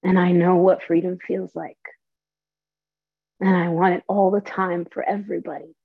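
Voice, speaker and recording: Young woman storyteller from Saudi Arabia, earnest and unhurried, lowish voice, dull dry recording. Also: little pitch movement, gravelly, dry recording. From Saudi Arabia and Palestine. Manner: earnest, slow, deliberate delivery. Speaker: storyteller and performer. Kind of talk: theatrical monologue and personal talk. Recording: dull, lo-fi audio.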